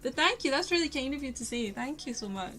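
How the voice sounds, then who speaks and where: A woman's voice: high-pitched, expressive, quick pace, sharp tone. A young woman with a posh Glaswegian Scottish accent, chatting casually with her live-stream viewers while she paints, rambling and poking fun at herself. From Scotland. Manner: chatty, self-mocking, rambling asides to chat. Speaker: art streamer. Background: faint lo-fi music.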